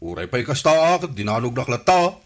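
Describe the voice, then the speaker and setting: A man's voice: mid-range voice, sing-song, normal speed, natural voice. A man playing a wronged but forgiving visitor in a radio comedy-drama, with an Ilocano accent. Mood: aggrieved.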